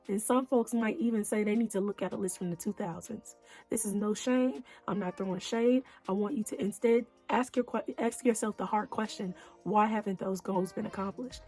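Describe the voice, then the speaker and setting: Slightly hoarse woman psychologist, breathy. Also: mid-range voice, mellow. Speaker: clinical psychologist hosting her own channel. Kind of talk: casual talk to camera.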